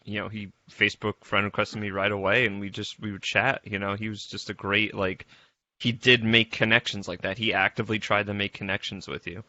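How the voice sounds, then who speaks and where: A man's voice: lowish voice, little pitch movement, a little fast, sharp tone, no echo, dull audio. A man chatting casually as a panelist, reminiscing in a group call. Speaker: podcast panelist.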